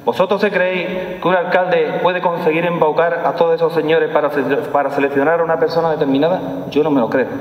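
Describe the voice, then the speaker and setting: A man's voice: on the high side, flat delivery, fairly quick, few pauses, slight background noise. A man in his forties with an Andalusian accent, giving an emphatic formal speech as a police chief, repeating key phrases. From Andalusia, Spain. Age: 40s. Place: large stone hall, indoors. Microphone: lectern microphone. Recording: echoing hall, long reverb, slightly dull sound.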